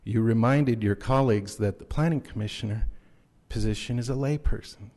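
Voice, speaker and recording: A man on a city council speaking at a public meeting, halting with many fillers, then stern and reproachful. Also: mid-range voice, warm tone, big-room sound. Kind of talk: formal public statement at a meeting. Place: council chamber.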